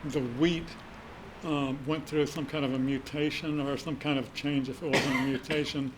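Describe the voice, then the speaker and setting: A man in the audience talks in a mid-pitched voice, meandering and hesitant, with many fillers. Faint room noise sits under his voice. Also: flat delivery, moderate pace, low-quality recording. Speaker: audience member. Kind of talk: casual question from the audience. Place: community room.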